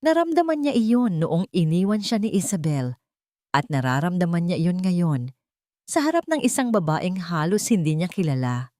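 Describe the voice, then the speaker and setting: A woman's voice: on the low side, very animated, moderate pace, dark tone, dry recording, clean studio sound. A woman reading a scripted, dramatic love story as a storyteller.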